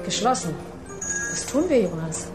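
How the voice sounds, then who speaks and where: A woman's voice: medium pitch, sing-song, moderate pace, echoey room, loud music behind the voice, lightly acted. A woman playing a detective's hesitant, curious sidekick with a questioning manner in a German radio play. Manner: questioning, uneasy.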